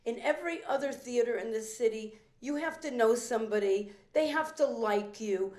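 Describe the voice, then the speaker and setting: An older woman from a working-class Italian immigrant family, a veteran performer telling a rambling, animated story with restarts and acted-out quotes at a theater benefit. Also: a little high, moderate pace. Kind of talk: anecdotal storytelling speech. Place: event room at a private club. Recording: roomy echoing event hall.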